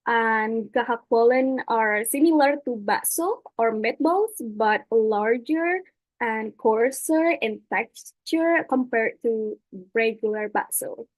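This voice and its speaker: A woman's voice: a little high, slow pace, no room sound, poor audio. A young woman from Indonesia giving a webinar talk over slides, hesitant with many fillers and restarts. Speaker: webinar speaker. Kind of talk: presentation with slides.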